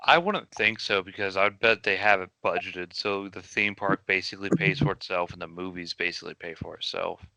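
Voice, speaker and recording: A man hosting a casual podcast, with a bright, crisp voice. The sound is dry and close. He leads the talk and asks questions. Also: deep voice, fairly quick, few pauses, no echo, rough recording. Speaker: podcast host.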